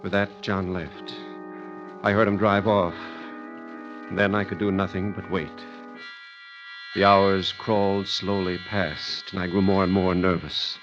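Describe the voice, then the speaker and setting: An actor playing a killer's nervous, hesitant brother who also narrates an old-time radio thriller. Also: male voice, deep voice, steady tone, measured pace. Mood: grave, tense. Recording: muffled vintage radio recording with music.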